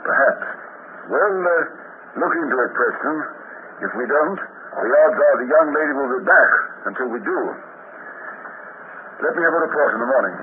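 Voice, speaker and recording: An actor playing a sceptical, dryly polite police inspector in a 1950s radio drama. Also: male voice, mid-range voice, animated, normal speed, long pauses, close to an everyday voice. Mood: brisk, wry. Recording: noisy, narrow-band 1950s radio recording.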